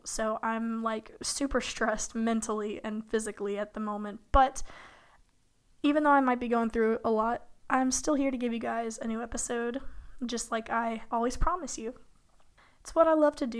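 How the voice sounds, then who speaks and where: Hoarse young woman, chatty podcast host. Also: fairly high pitch. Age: young adult. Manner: chatty, rambling, with fillers and light laughs. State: hoarse from illness. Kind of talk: casual solo podcast talk.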